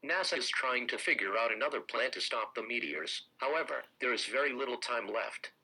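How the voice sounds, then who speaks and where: A man's voice: mid-range voice, animated, fairly quick, sharp tone, husky. A synthetic-sounding man reading scripted emergency broadcast announcements in a formal, impersonal manner.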